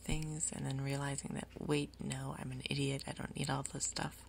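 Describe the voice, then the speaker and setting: Low, creaky soft-spoken woman, whispery. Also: flat delivery, slight background noise. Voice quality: soft, whispery, breathy undertone. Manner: unhurried, casual, thinking aloud. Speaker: gaming video host. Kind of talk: soft-spoken ASMR Minecraft gameplay commentary.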